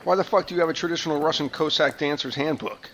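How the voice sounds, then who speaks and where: Man voicing a blunt castaway, fairly high, faint hiss behind. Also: fast, fairly bright tone, lightly acted, rough recording. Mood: plain. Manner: plain, questioning, swears.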